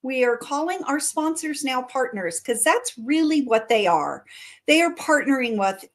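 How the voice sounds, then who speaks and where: A woman's voice: high-pitched, sing-song, measured pace. A middle-aged woman hosting a community chamber of commerce livestream, upbeat and promotional as she announces events and welcomes guests. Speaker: chamber of commerce director hosting a live show.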